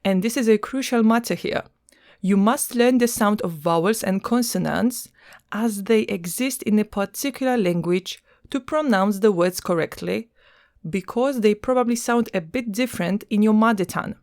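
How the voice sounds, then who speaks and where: A woman's voice: medium pitch, moderate pace, a little mellow. Woman, Polish accent, podcast host, explanatory teaching tone. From Poland. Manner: explanatory, with fillers and restarts. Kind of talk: educational podcast monologue.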